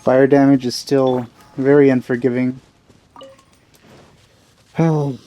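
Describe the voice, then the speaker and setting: A man's voice: mid-range voice, little pitch movement, takes it slowly, dark tone, slight background noise. A man giving casual gameplay commentary as a let's play host. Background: game music.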